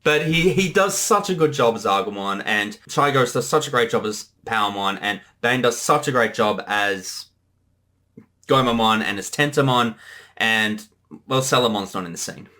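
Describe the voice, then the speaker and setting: A creator chatting casually through a commentary track, in an animated, rambling way. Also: mid-range voice, normal speed. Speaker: creator giving commentary, voice actor. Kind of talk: casual commentary chat between two creators.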